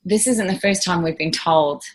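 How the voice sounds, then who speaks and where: A low, husky-voiced woman with a New Zealand accent talks through her answers in an interview. She is thoughtful and earnest, pausing on fillers and restarting her sentences as she goes. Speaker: ICU nurse guest.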